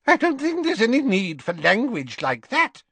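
A man's voice: very high-pitched, wildly swooping pitch, normal speed, fairly bright tone, breathy edge, no echo, voice pushed up, heavily acted. A man voicing a fussy, flustered older woman who runs a robe shop, pleading anxiously. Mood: fussing.

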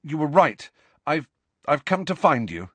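Guy doing a teen boy wizard, pitched up, really lively, pushy and impatient, audiobook character. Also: measured pace, dry recording, exaggerated voice. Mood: awkward. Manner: insistent, questioning, emphatic. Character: urgent, stubborn, impatient teenage boy wizard.

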